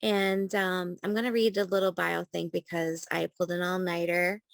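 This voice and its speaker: A woman's voice: lowish voice, little pitch movement, normal speed, creaky, no echo, studio quality. A woman hosting a podcast, chatty and informal, with frequent ums. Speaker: podcast host, coach and therapist.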